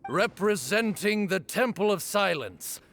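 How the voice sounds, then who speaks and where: A man's voice: sits high, lively intonation, a little slow, gravelly, fairly bright tone, heavily acted. A man playing a solemn, formal officiant proclaiming a sacred rite in a video game. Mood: solemn, ceremonial. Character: solemn male ceremonial officiant of a duel rite. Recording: faint music behind the voice.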